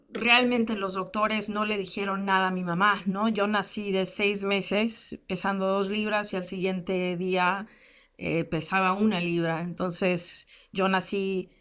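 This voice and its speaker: A woman in her thirties speaks as an invited guest and recounts her childhood. Her talk is candid and full of fillers, with flashes of self-deprecating humor. Her voice sits at an ordinary mid pitch. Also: fairly bright tone. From United States. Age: 30s. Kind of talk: personal testimony in a lecture.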